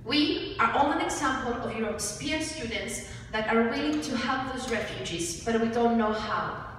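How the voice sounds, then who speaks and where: A young woman gives a rehearsed pitch with a fairly high voice. She sounds far from the mic in a very echoey hall with faint noise. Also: lively intonation, moderate pace, a bit bright. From Cyprus. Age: young adult. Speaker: student presenter. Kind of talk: rehearsed group pitch presentation. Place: large hall with seated audience. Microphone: far audience camera.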